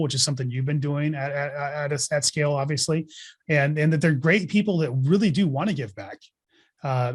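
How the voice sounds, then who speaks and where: Blunt, emphatic man in his forties. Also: mid-range voice. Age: 40s. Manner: blunt, emphatic, motivational, swears casually. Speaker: podcast guest, real estate investor. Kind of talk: casual interview talk.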